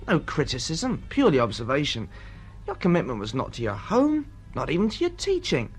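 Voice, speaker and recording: Self-satisfied male bore, pompous, tactless, animated intonation, radio drama, faint music behind. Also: fairly high pitch, a little fast. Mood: smug, lecturing. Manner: pompous, jargon-laden, lecturing.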